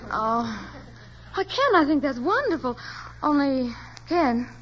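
An actress playing a devoted, stubborn young wife in a 1940s radio drama, speaking earnestly and emotionally. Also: female voice, sits high, very animated, slow, full character voice. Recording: dull lo-fi old radio recording with faint hiss.

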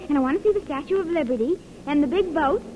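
A woman's voice: sits high, animated, quick pace, lo-fi sound, slight background noise. An actress playing a naive young chorus girl, eager and wide-eyed, in 1930s radio drama style.

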